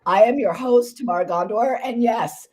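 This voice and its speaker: Hoarse, bubbly woman podcast host. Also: a little high, normal speed, dark tone. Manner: bubbly, enthusiastic, chatty. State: hoarse, voice partly gone. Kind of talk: podcast interview.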